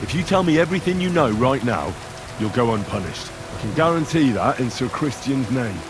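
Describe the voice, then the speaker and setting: An actor playing a young medieval man calmly and firmly questioning suspects in a video game. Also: medium pitch, a little fast, music in the background, natural voice. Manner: calm, firm interrogating.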